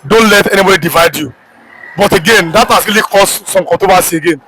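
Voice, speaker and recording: A man's voice: sits high, quick pace, very noisy. A man, asking probing questions in a street interview with some hesitant fillers.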